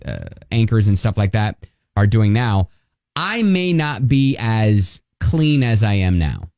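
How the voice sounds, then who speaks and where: A man co-hosting a radio show, chatting casually and telling comic personal stories in an animated way, acting out his own thoughts as dialogue. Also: on the low side, slow pace, no echo, dull audio.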